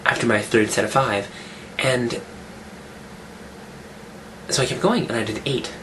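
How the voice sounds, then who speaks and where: Chatty man with a cold-roughened voice. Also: mid-range voice, steady tone, measured pace, a bit bright, slight background noise, low-quality recording. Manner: rambling, chatty, restarts sentences. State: getting over a cold, rough voice. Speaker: fitness vlogger. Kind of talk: casual weekly update vlog.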